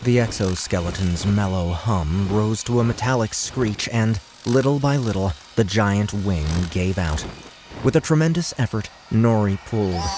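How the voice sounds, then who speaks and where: Deep-voiced male audiobook narrator. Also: noisy background. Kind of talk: dramatic scripted narration.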